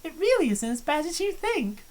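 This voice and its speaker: A woman's voice: high-pitched, very animated, normal speed, a little mellow. A woman voicing a breezy, self-assured young woman. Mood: cheerful, self-assured. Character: confident, cheerful large young woman. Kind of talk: fan voice-over of a visual novel.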